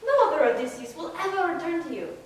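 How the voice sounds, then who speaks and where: A woman's voice: very high-pitched, big pitch swings, quick pace, voice pushed up. A woman playing a proud, weary hero father in a solemn, emphatic stage reading of epic poetry. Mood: proud, reassuring. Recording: distant, very echoey room.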